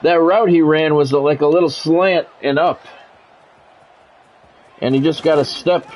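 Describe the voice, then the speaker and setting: A man's voice: on the high side, fairly even intonation, measured pace, darkish tone, slight background noise. A man doing play-by-play of his own football video game in short, blunt phrases, mixed with casual gamer talk.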